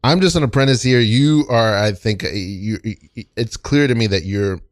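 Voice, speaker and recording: A man improvising an earnest apprentice puppet maker in a comedy sketch. He is halting and defensive, full of idealism about his craft. The voice is on the low side and closely recorded with a dry sound. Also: no room sound, natural voice. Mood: insistent, idealistic. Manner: earnest, halting, self-justifying.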